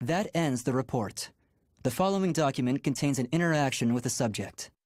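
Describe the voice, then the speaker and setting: An actor playing a dutiful, reserved agent of an organization in a video game, speaking formally and matter-of-factly. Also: male voice, mid-range voice, animated, brisk, noisy background, close to an everyday voice. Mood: formal, detached. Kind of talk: scripted report narration in a video game.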